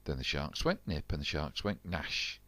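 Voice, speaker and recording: An older man reading a rhyming picture book aloud to his grandchildren in an animated, rhythmic way. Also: very deep voice, normal speed, dry recording.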